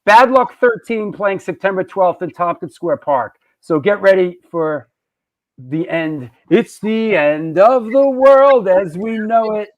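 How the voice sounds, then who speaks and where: High-voiced rambling male host. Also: lively intonation, takes it slowly, highs cut off. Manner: rambling, joking, loose. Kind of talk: live show casual chat.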